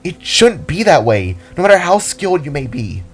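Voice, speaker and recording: A man plays a determined young heroine, caring and earnest. The voice is lifted higher than his narration and tends to ramble and plead, with music behind it in an audio drama. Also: big pitch swings, moderate pace. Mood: earnest, rambling. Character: determined, caring young female guitarist fighter.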